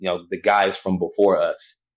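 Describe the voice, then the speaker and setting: A young man, a battle rapper, talking about his start in a relaxed, slangy way, often saying "you know what I'm saying". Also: low voice, measured pace, poor audio. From United States. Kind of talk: casual interview chat.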